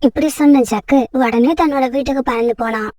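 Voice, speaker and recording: A woman's voice: high voice, fairly even intonation, fairly quick, darkish tone, dry recording, studio quality, far from the mic. A woman storyteller giving scripted narration of a children's story.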